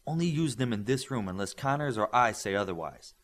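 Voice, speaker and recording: A man's voice: mid-range voice, lively intonation, a little fast, fairly bright tone, natural voice. A man voicing a bossy young male superhero leader in an audiobook, terse and dry.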